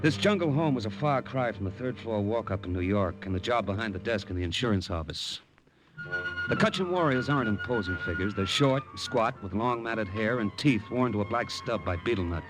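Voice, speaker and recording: An actor playing an earnest American army captain and secret agent, steady and plainspoken, in a 1950s radio drama. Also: male voice, fairly low pitch, brisk, over music, natural voice, low-quality recording. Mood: narrating. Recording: old radio recording, faint noise.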